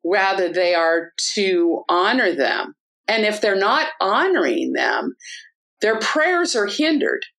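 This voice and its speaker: A woman's voice: mid-range voice, sing-song, slow, creaky, a bit bright. A woman in a casual talk-show discussion, explaining a Bible passage in an earnest, teaching way. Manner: earnest, teaching tone with frequent restarts.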